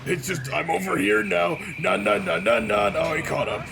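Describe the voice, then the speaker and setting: A man's voice: high voice, lively intonation, moderate pace, gravelly. A man giving casual, joking game commentary in a sarcastic, mocking way. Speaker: let's play commentator. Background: loud game music.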